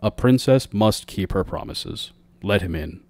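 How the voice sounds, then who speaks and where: Man playing a stern, measured storybook king. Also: on the low side, lively intonation, no room sound. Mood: scolding. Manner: measured, authoritative. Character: stern royal father king, admonishing. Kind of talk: storybook character voice.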